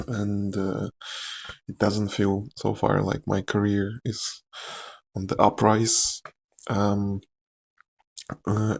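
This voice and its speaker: A young man with a Slavic accent talks softly and candidly in a meandering ASMR chat, full of fillers and small laughs. His voice sits fairly low, with a heavy gravelly fry running through it and a husky, breathy edge. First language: Czech or another Slavic language. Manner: soft-spoken, rambling and candid, with frequent fillers and quiet laughs. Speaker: actor.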